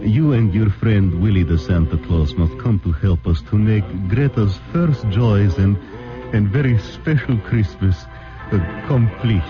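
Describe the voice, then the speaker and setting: A man playing an anxious, devout immigrant father in an old radio drama, with a halting, stammering delivery. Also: lowish voice, close to an everyday voice. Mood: grateful, moved. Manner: halting, stammering, repeats himself. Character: anxious, devout immigrant father searching for his lost girl. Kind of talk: 1940s radio drama acting. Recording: dull old radio recording with music.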